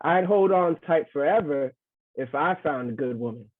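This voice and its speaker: A man acting an excitable, teasing junior soldier with folksy talk in a live screenplay read. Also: on the high side, normal speed, narrow, tinny audio. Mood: wistful boast. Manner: folksy, ribbing.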